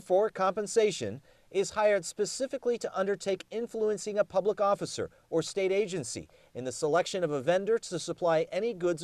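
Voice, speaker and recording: High-pitched, animated male news reporter. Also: no room sound. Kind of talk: scripted news report narration.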